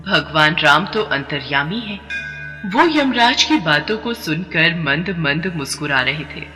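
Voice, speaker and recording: A man narrating a devotional story in a steady, expressive way. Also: a little high, unhurried. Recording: long reverb over background music.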